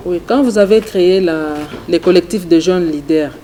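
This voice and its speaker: A male TV host asking formal, measured questions in a studio interview. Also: high voice, dark tone, a little hiss or hum.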